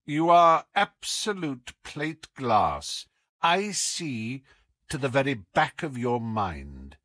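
A man voicing a sharp, commanding detective in an audiobook, coolly taunting, with deliberate, teasing emphasis. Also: mid-range voice, very animated, no echo. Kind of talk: audiobook character acting.

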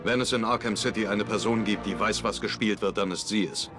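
An actor voicing a grim masked male vigilante, terse, calm and determined, over a loud score. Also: fairly low pitch, fairly quick, music in the background, natural voice.

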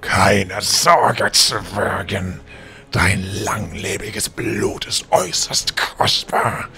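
A man playing a villainous old burgrave turned monstrous in a fan audio drama, sneering and theatrical with menace, in a gravelly voice. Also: deep voice, sing-song, drawn-out words, pushed and bright, soft background music, exaggerated voice. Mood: menacing gloating. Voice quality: gravelly, creaky. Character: old villainous burgrave swollen into a demonic troll.

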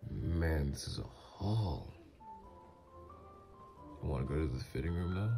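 A man playing a doting, easily flustered mafia boss lover, gruff yet flirtatious, in an intimate ASMR roleplay. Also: extremely low pitch, animated, unhurried, warm tone, faint music behind, heavily acted. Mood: relaxed, indulgent.

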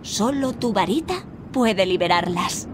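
An actress playing a kindly, encouraging fairy guide who gives patient instruction in a video game. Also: female voice, medium pitch, animated, a little slow, noisy background, close to an everyday voice. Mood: instructive. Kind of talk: scripted game tutorial dialogue.

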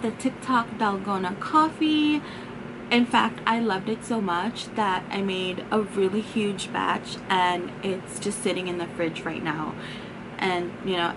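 Chatty woman vlogger, slow and easy. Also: medium pitch, background noise. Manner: chatty, upbeat, relaxed. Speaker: lifestyle vlogger. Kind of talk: casual tutorial vlog. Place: home bedroom and kitchen.

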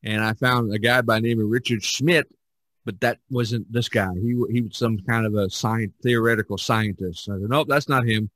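A man hosting a podcast in casual, halting talk, spelling things out as he goes. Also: lowish voice, fairly even intonation, a bit bright, no room sound. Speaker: podcast host. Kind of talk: casual chat and sign-off.

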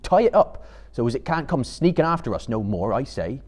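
A man's voice: mid-range voice, very animated, brisk, darkish tone, voice pushed up. A man voicing a suspicious, indignant loyal hobbit with blunt rustic phrasing in a dramatic story reading.

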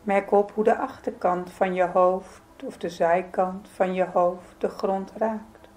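Slow-paced woman guiding a meditation. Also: mid-range voice, poor audio. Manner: soothing, gentle, unhurried with pauses. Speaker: yoga nidra meditation guide. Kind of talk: guided meditation, calm spoken instruction.

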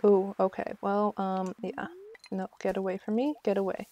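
A woman's voice: mid-range voice, steady tone, moderate pace, mellow. A woman giving casual, chatty commentary on a live game stream, talking to herself with mock exasperation.

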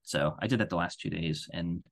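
Low-voiced man chatting casually. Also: brisk, gravelly. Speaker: podcast co-host. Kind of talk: casual chat. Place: room at home on a video call.

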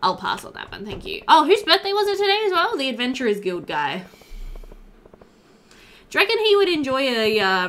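A woman's voice: on the high side, a bit bright. A young woman giving chatty, informal, giggly commentary while playing a video game. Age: young adult. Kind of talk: casual let's play commentary.

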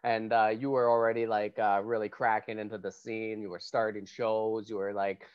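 A man's voice: fairly low pitch, level tone, moderate pace, clear, resonant tone, muffled recording. A man hosting a casual podcast over a video call who talks in a laid-back way, laughs often and swears casually. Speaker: podcast host. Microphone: earphones and handheld mic on video call.

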